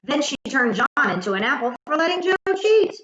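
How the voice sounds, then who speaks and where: A woman narrating a children's story aloud in an expressive, dramatic, carefully enunciated way. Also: sits high, fairly quick. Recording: echoey room, slightly muffled sound.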